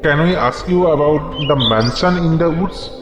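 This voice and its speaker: A man's voice: fairly high pitch, normal speed, lightly acted. A man playing an earnest, polite and curious young husband in an audio drama. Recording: dull, echoey sound with music. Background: music and birdsong.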